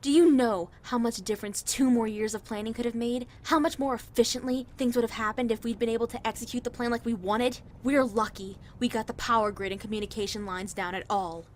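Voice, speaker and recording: A woman's voice: fairly high pitch, steady tone, a little fast, hardly any pauses, a little mellow, a little hiss or hum, close to an everyday voice. A woman playing a cold, bossy conspirator who scolds and commands her underlings in a condescending way. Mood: exasperated, scolding. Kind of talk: dramatic voice acting in audio drama.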